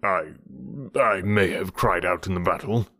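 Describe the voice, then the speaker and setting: A man's voice: low-pitched, measured pace, pushed and bright, dry recording, heavily acted. A man voicing a proud, weary male panther in a storybook, speaking in a grave, dry manner. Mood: weary, urgent.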